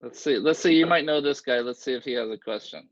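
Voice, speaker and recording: A man's voice: medium pitch, fast talker, dull audio. A man casually hosting a children's online event and moderating their questions. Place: video call.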